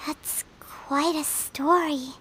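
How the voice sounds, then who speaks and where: An actress voicing a tiny, childlike fairy companion in a video game, with a squeaky voice and a naive, expressive, questioning manner. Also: female voice, takes it slowly, faint music behind, rough recording. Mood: sympathetic. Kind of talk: video game story dialogue.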